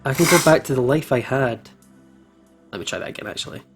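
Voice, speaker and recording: A man's voice: medium pitch, quick pace, gravelly. A man reading a visual novel aloud as a let's play host, reacting expressively, with music behind him. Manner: expressive, emotionally invested reactions.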